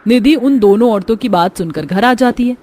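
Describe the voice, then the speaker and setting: A woman's voice: a little high, moderate pace, dark tone. A woman reading scripted narration for a cartoon story as its narrator.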